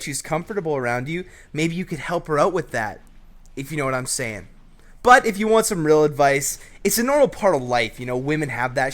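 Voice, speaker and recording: Young male host, cocky and jokey advice delivery, slightly raised pitch. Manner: brash, joking, with swearing. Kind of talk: casual advice commentary over gameplay.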